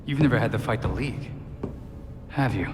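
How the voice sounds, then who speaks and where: A confident young vigilante leader played by a man in a game cutscene. He has an American accent, a middling pitch and a wry, resolute way of talking. The voice rings with long reverb over music. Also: fairly quick, lightly acted. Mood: serious. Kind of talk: video game cutscene acting.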